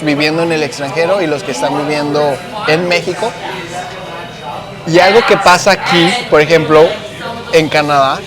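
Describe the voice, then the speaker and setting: Mexican male host, casual chat, slangy, lots of fillers, a bit high, music bed. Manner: animated, slangy, searches for words with many fillers.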